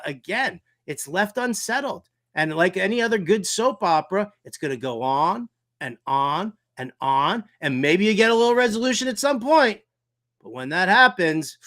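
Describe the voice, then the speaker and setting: An American man hosting a fantasy football talk show, animated and theatrical, with colloquial, wisecracking delivery. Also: on the high side. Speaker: sports talk show host.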